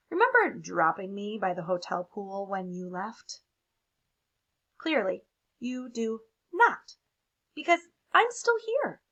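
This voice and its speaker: A woman's voice: on the high side, a bit bright, dull audio, exaggerated voice. A woman voicing a dramatic, clueless crayon in an exclamatory, emphatic storybook read-aloud. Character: dramatic, clueless, left-behind neon red crayon. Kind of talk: expressive picture-book read-aloud.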